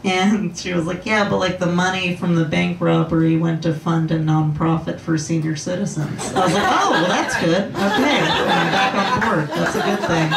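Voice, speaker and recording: A young woman doing a slow, deadpan stand-up set with a low voice and halting delivery full of ums. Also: creaky, heavy background noise. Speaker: stand-up comedian. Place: comedy club stage with laughing audience. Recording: roomy club sound from a distance.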